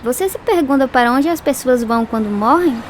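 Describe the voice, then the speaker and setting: Wistful, high-voiced young girl. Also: lively intonation, fairly quick, a little hiss or hum. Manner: wistful, pensive. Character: wistful, thoughtful young girl grieving her father. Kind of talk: radio drama acting.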